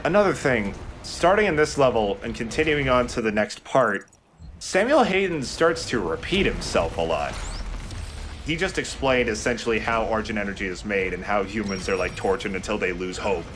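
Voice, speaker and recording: A man's voice: on the low side, expressive, moderate pace, background noise. A young man giving casual, explanatory video game commentary with some swearing. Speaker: let's play host and player.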